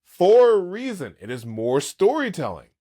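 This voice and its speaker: A man's voice: high-pitched, sing-song, moderate pace, dark tone. Enthusiastic man in his thirties. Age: 30s. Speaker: podcast co-host. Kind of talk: casual fan chat.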